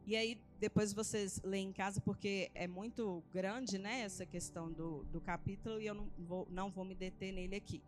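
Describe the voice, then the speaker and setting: A woman's voice: mid-range voice, steady tone, normal speed, hardly any pauses. A woman with a Brazilian accent preaching in an informal, conversational way at a church service, teaching from scripture with colloquial tag questions. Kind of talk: informal Bible teaching sermon, reading and retelling scripture.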